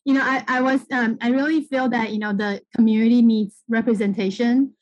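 Higher-pitched middle-aged woman from Taiwan, halting. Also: steady tone, darkish tone, no room sound, lo-fi sound. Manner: halting, conversational, punctuated with "you know". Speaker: political candidate, interview guest. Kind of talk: casual campaign interview talk.

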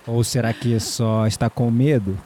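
A man acting a mellow, flirtatious Brazilian neighbour. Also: mid-range voice, steady tone, measured pace, natural voice. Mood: teasing. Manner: playful teasing, flirtatious. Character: mysterious male neighbour, flirtatious, playful, calmly teasing. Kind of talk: audio drama dialogue.

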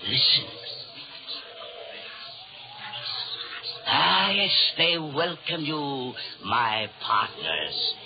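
A man's voice: fairly high pitch, big pitch swings, takes it slowly, over music, heavily acted. A man playing a suave, sinister Devil in a 1930s radio horror drama, formal and courtly, mocking and commanding. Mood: gloating, grand. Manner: formal, courtly, menacing, theatrical. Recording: muffled, noisy 1930s radio recording.

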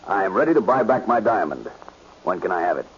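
An actor playing a demanding, menacing diamond owner in 1940s radio style. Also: male voice, deep voice, big pitch swings, fairly quick. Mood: smooth, probing. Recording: muffled, hissy old radio recording.

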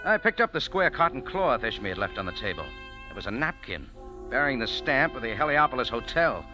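An actor plays a wisecracking American cafe owner in an old radio play. He narrates in a wry, hardboiled voice that swings with lively pitch. Music plays clearly beneath the broadcast. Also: fairly quick, lightly acted. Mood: narrating. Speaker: narrator and lead. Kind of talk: 1940s radio acting.

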